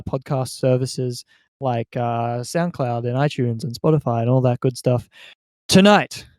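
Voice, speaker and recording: A man's voice: fairly high pitch, a little mellow, clear, resonant tone, no room sound. A man, hosting a casual podcast in a conversational style. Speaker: podcast host.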